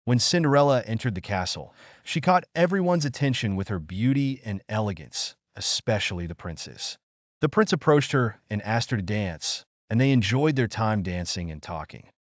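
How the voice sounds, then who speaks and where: A man with a low voice reads a children's bedtime story from a script. His pitch moves widely as he narrates the fairy tale. The recording is close and dry. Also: lively intonation, normal speed, no room sound.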